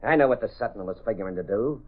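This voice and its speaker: A man's voice: fairly low pitch, animated, rapid-fire. Casting: slangy American mobster, menacing tough-guy delivery, vintage radio-play style, narrow muffled sound. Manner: threatening, slangy, tough gangster talk. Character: menacing male racketeer ex-convict selling circus protection insurance. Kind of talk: 1930s radio drama acting. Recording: muffled, narrow-band old radio recording.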